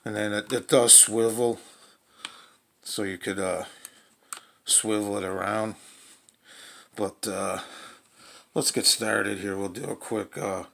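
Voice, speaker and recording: A man with a fairly low voice and a creaky, gravelly edge gives an informal hands-on product demo. He talks in plain, folksy language, rambling a little and feeling his way through each point. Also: level tone, takes it slowly, long pauses, a bit bright. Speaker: radio reviewer demonstrating a portable radio. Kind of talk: casual unscripted product demonstration.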